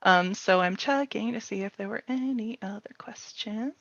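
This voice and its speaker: A woman's voice: mid-range voice, moderate pace, a bit bright, dry recording, muffled recording. Woman host, casual, dry close sound. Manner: informal, hesitant phrasing with many "like"s. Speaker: host of a publisher's livestream workshop. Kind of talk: casual workshop chat, reading viewer questions.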